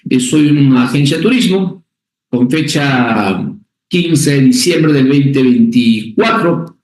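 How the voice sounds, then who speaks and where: A man's voice: medium pitch, a little mellow. A man teaching a tax class, repeating key points and checking in with short questions. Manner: repetitive, checks in with "¿ok?" and "¿ya?"; stresses key words by repeating them. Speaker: tax instructor. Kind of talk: recorded class lecture. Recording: echoey room.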